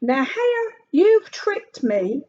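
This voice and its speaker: A woman voicing a lazy, gullible male bear in a storybook, slow to catch on. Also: high-pitched, sing-song, a little mellow, voice pushed up, heavily acted. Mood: indignant. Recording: dull lo-fi recording.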